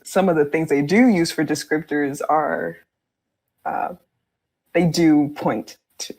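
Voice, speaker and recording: A woman's voice: sits low, darkish tone, low-quality recording. A woman speaking as a podcast guest, explaining things in a casual, conversational style. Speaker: podcast guest, fan fiction writer.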